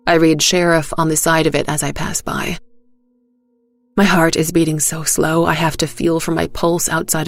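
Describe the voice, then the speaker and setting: A woman reading a scripted first-person story as a narrator. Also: low-pitched.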